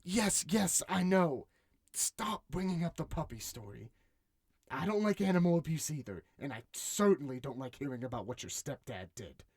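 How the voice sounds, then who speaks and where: A man's voice: on the high side, sing-song, normal speed, a little mellow, slightly rough, no room sound, studio quality, full character voice. A man acting a young swordsman in a fantasy audiobook, protective and caring with a short fuse. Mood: exasperated. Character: young male swordsman, protective boyfriend, exasperated but caring.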